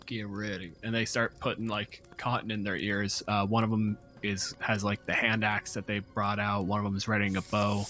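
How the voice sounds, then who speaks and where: A man's voice: fairly low pitch, little pitch movement, normal speed, few pauses, sharp tone, faint music behind. A man running a tabletop game as game master, narrating and explaining rulings in a casual, profane way.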